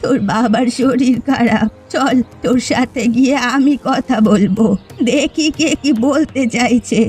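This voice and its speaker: A very high-pitched village housewife in a cartoon drama played by a woman. Her delivery is emphatic and theatrical, with faint music underneath. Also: measured pace, darkish tone, slightly rough. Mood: worried, reproachful. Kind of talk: dramatic Bengali cartoon voice acting.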